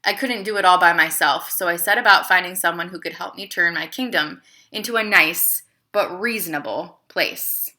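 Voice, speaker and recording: A woman playing a clever, self-justifying male emperor who tells his side of a children's storybook tale in an expressive, confiding way. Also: lowish voice, normal speed, few pauses. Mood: confiding, exasperated. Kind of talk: children's storybook read-aloud.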